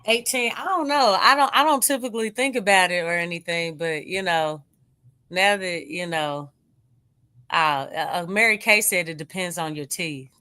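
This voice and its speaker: A woman's voice: on the low side, moderate pace, sharp tone. An American woman co-hosting a live sports show in an informal, playful, conversational way. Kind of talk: casual live sports chat.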